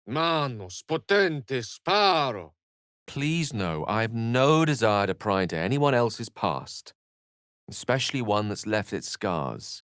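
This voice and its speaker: A man's voice: mid-range voice, big pitch swings, slow, a bit bright, clear, resonant tone, dry recording, clean studio sound. A male actor playing an erudite, wry wizard in a fantasy video game, articulate and theatrical, with a British accent and a streak of melancholy. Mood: forceful incantation.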